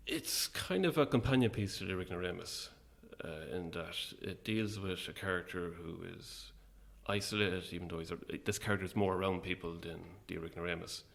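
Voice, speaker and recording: A man's voice: sits low, a little fast, vocal fry, few pauses, a bit bright. A man chatting casually as an interview guest about his own creative work, in a hesitant, reflective way. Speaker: playwright and actor, interview guest.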